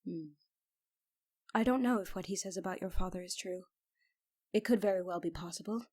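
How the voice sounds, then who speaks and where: Protective royal knight voiced by a woman, fantasy ASMR roleplay, husky and soft-edged, dutiful, earnest delivery. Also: fairly low pitch, normal speed. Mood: tender, protective. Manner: formal, earnest, restrained. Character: devoted, protective female royal knight, lover of princess.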